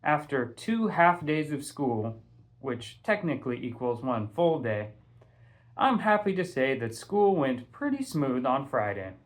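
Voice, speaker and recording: A man reading a first-person story aloud in an expressive, casual, conversational way. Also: mid-range voice, a little slow. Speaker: audiobook reader, teacher.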